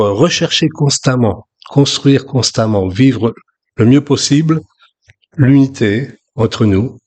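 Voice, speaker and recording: A man from France in his sixties, preaching a sermon in a relaxed, conversational way with frequent restarts. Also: mid-range voice. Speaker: pastor.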